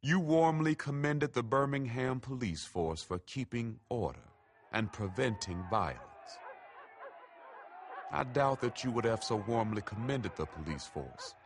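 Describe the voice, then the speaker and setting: A man giving a measured, grave, oratorical reading of a written letter. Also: fairly low pitch, background noise.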